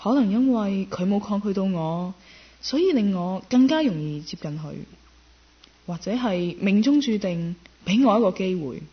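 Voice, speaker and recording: A woman's voice: medium pitch, expressive, normal speed, breathy edge. A woman acting a self-possessed career woman in a radio drama, speaking with a Hong Kong Cantonese accent as narrator and character. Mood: reflective, admiring. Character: self-possessed career woman, fashion shop keeper, quietly smitten. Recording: dull lo-fi audio, music underneath. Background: background music.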